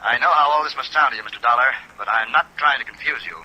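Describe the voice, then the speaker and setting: A courteous but guarded male businessman on a vintage radio telephone. His mid-pitched voice is extremely bright and forceful, with formal phrasing and faint rain noise. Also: quick pace, lo-fi sound. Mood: guarded, apologetic. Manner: formal, apologetic. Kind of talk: 1950s radio drama acting. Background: rain sounds.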